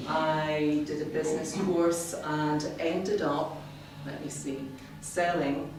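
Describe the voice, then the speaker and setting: A middle-aged woman, giving a prepared speech to a club in a measured, wry, self-deprecating way. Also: low voice, little pitch movement. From Scotland, born in the Highlands. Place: indoor meeting room, in front of an audience. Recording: very echoey room, distant mic, clearly noisy.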